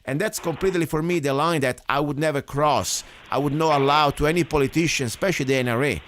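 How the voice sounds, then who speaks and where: A man's voice: fairly high pitch, fast talker, a bit bright. Man, radio host, rambling, indignant. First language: Italian. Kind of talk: casual radio talk.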